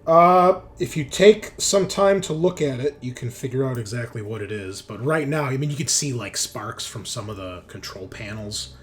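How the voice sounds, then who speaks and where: A man running a tabletop roleplaying game, improvising scene descriptions in a conversational, halting way. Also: mid-range voice, lively intonation. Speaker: game master. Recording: clear background noise.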